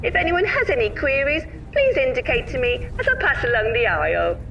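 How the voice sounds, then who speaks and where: A woman acts out an officious tour hostess on a coach PA in an audio play. Her high voice lilts formally, slightly distant and muffled. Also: big pitch swings, a little fast, creaky, fairly bright tone, full character voice. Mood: officious, chirpy. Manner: sing-song, formal announcing. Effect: PA system. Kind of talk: scripted audio drama announcement. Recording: muffled, noisy, distant PA announcement.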